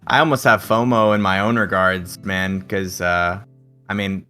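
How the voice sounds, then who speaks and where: A man's voice: deep voice, fairly even intonation, a little slow, pushed and bright, faint music behind. A young man co-hosting a podcast in a casual, conversational discussion. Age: young adult. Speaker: podcast co-host.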